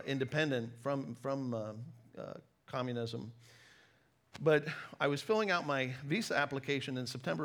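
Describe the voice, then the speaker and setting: A middle-aged American man giving a sermon with personal stories, conversational but emphatic, pausing deliberately between phrases. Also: mid-range voice, fairly bright tone. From United States. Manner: conversational, emphatic with deliberate pauses, self-deprecating. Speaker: preacher, former missionary.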